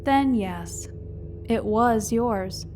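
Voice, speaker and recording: A woman's voice: on the high side, drawn-out words, music in the background. A woman playing an exasperated, sarcastic mercenary pilot with a blunt manner. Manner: blunt, sardonic.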